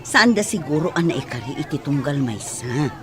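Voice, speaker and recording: Consoling middle-aged parent played by an actor, radio drama: medium pitch, easygoing, crowd ambience behind. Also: animated, normal speed, lightly acted. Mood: reassuring. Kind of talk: Ilocano radio drama acting.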